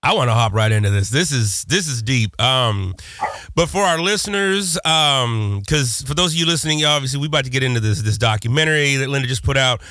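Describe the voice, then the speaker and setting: A man hosting a podcast, hoarse as he recovers from a lost voice, speaking casually in a rambling, hesitant way with lots of fillers. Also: medium pitch, expressive, brisk, sharp tone, dry recording, clean studio sound. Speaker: podcast host.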